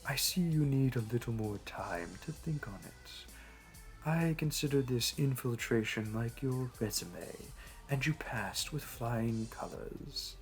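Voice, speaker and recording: A man's voice: mid-range voice, takes it slowly, faint music behind. A man playing a suave, flirtatious supervillain in a roleplay audio drama, smug, teasing and theatrical. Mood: smooth farewell. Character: smug, flirtatious male supervillain courting a hero.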